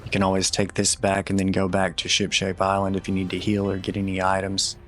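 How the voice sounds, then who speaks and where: A man giving casual, matter-of-fact video game walkthrough commentary in a low-key instructional way. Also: monotone, fairly quick, a bit bright. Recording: voice over game music. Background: video game music.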